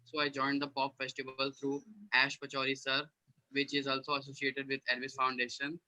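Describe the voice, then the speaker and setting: Flat, bright-voiced young man. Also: medium pitch, a little fast, no echo, rough recording. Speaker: workshop participant. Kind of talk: self-introduction.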